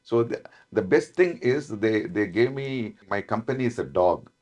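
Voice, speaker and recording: A man with a South Indian accent, an actor telling stories in a casual interview, laughing often and restarting his sentences. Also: fairly low pitch. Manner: animated storytelling, laughing often, halting restarts.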